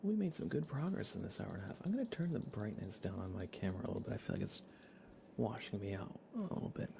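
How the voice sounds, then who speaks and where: A man's voice: on the low side, lively intonation, fast talker, creaky, background noise. A man hosting a casual ASMR game livestream, chatty and informal, sometimes slipping toward a whisper. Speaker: livestream host.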